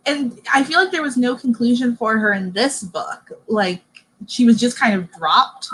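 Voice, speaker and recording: A woman's voice: on the high side, moderate pace, fairly bright tone, husky, low-quality recording. A woman in her early twenties hosting a casual book discussion.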